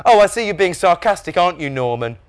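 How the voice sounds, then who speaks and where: A man's voice: sits high, very animated, fast talker, clean tone, pitched above the performer's own voice. A man voicing a bossy, know-it-all little boy in a children's audiobook, with a taunting manner. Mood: smug. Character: bossy, smug little boy teasing his brothers.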